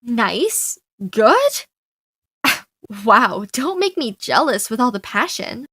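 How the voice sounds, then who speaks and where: A woman's voice: on the high side, big pitch swings, measured pace, bright, forceful tone, slightly rough, no echo, clean studio sound, exaggerated voice. A woman voicing a teasing young twin sister in a cartoon fan dub, with a wry, needling manner.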